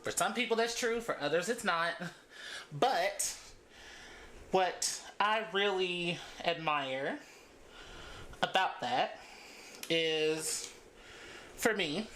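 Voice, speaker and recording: A woman's voice: lowish voice, slow, long pauses, a bit bright, roomy sound, a little hiss or hum. A woman in her thirties chatting casually as she braids her hair for her vlog, laughing often between thoughts. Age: 30s. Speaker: beauty vlogger.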